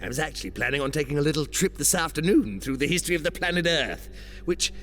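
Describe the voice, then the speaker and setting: Coaxing pantomime schemer, patronising, swooping intonation, slightly high voice, audio drama, music underneath. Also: male voice, expressive, fast, a bit bright.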